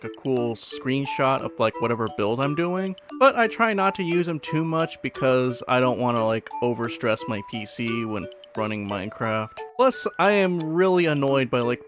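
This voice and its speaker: Animated man hosting a casual gaming video. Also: mid-range voice. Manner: animated, informal, conversational. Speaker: host of a gaming video channel.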